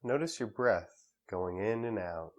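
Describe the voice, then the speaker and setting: A man leading a guided meditation in a calm, measured way with pauses between phrases. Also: fairly low pitch, lo-fi sound.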